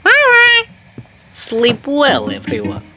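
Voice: high voice, wildly swooping pitch, very slow, heavily acted. An actor voicing a mysterious, formal man in a children's cartoon. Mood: polite. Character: mysterious, formal Russian man in trench coat.

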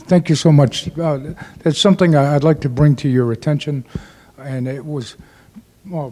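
A state representative speaking politely and in measured terms at a town meeting. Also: male voice, medium pitch, dark tone. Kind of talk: public comment at a town meeting.

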